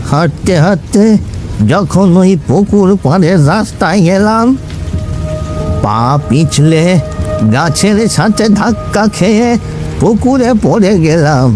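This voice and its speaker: Man playing high-voiced old village grandfather storyteller. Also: lively intonation, takes it slowly, over music. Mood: sorrowful. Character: old village grandfather storyteller, revealed as a gentle sorrowful ghost. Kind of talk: dramatic Bengali horror audio story.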